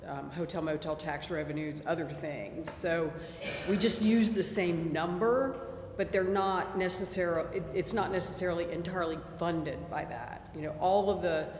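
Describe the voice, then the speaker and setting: A woman consultant explaining tax funding steps clearly at a city committee meeting. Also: on the low side, fairly even intonation, normal speed, darkish tone, slight background noise. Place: city council chamber. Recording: echoey council chamber from far off.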